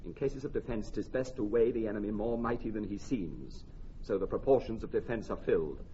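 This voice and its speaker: An actor playing an arrogant, scornful French prince, hot-headed and emphatic, in a classical radio drama. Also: male voice, lowish voice, brisk, dark tone, natural voice. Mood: dismissive. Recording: dull, faintly noisy old radio recording.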